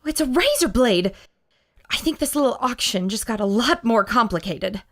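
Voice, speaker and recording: A woman's voice: on the high side, animated, moderate pace, a bit bright. A woman playing a bold, plucky adventurer in a radio drama, earnest and assertive. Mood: excited.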